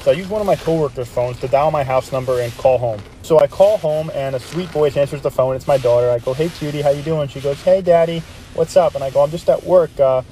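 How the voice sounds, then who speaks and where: A man's voice: on the high side, quick pace, slight background noise, poor audio. A man casually telling a comedic anecdote and acting out the dialogue as he goes. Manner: animated retelling, acting out dialogue.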